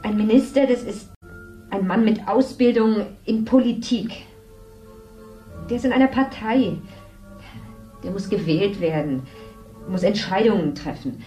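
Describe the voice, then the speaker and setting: A woman's voice: mid-range voice, a little slow, long pauses, dark tone, natural voice. A woman playing a skeptical, worried wife who protests against her husband's new role, in a 1970s radio drama. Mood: protesting. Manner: doubtful, protesting. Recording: roomy distant radio play with music.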